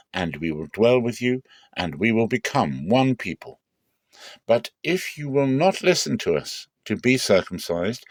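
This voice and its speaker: A man's voice: on the low side, dry recording. A man reading scripture dramatically as an offended, scheming brother who firmly lays down terms.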